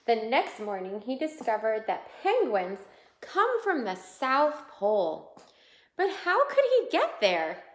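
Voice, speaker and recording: A woman reading a picture book aloud to young children in an expressive way, pausing to point out the pictures. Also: a little high. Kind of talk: children's read-aloud storytelling. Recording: roomy, echoing indoor sound.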